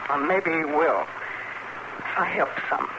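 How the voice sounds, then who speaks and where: An actress playing a grieving, stoic frontier farm widow with a rural American accent, brooding and plain-spoken in an old radio western. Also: female voice, low voice, measured pace. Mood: brooding certainty. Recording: noisy old radio drama recording.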